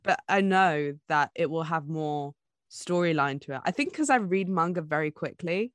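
A young woman co-hosting a podcast in casual chat, with an animated, giggly way of talking. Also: deep voice, moderate pace, no echo. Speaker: podcast co-host.

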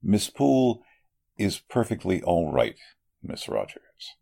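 An actor playing a sinister, courteous elderly doctor in a 1940s-style radio mystery, speaking formally and deliberately with a husky voice. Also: male voice, sits low, wildly swooping pitch, mellow, heavily acted. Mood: coldly menacing. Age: older. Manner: formal, deliberate, menacingly polite.

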